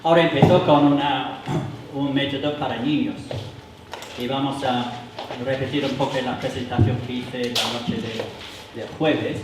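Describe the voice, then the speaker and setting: A man with a foreign accent, not a native speaker, leading a church workshop for adults, halting with many fillers and restarts. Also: medium pitch, fairly even intonation. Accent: foreign accent in Spanish. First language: not Spanish. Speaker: workshop leader. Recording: roomy hall, mic from far, noisy.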